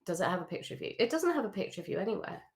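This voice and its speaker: A woman hosting an interview, giving lively, chatty feedback on branding with frequent laughs. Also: mid-range voice, rapid-fire. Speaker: host.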